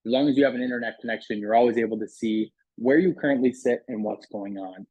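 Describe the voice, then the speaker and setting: A man talks through a software demo in a conversational, explanatory way. His mid-pitched voice is a little husky, and the close recording is very dry. The delivery is casual sales patter. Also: fast talker, no echo, rough recording. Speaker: software product demonstrator. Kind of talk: casual product demo walkthrough voice-over.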